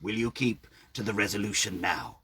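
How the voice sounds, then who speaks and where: A man voicing an ancient, skeletal guardian of a well in a story reading, speaking slowly and low with whispered menace. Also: fairly bright tone, close to an everyday voice. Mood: ominous, insistent.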